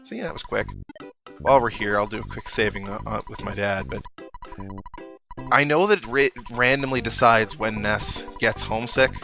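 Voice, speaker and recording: A man's voice: fairly low pitch, moderate pace, vocal fry, bright, forceful tone, muffled recording. A young man giving casual, rambling, self-deprecating gameplay commentary with video game music behind him. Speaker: video game let's player.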